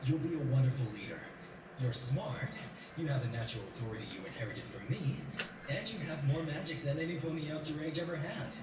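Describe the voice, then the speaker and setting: A man's voice: fairly high pitch, fast talker, lightly acted. A man playing a proud, encouraging pony king and father in a cartoon audio drama. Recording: very echoey, distant playback with loud noise.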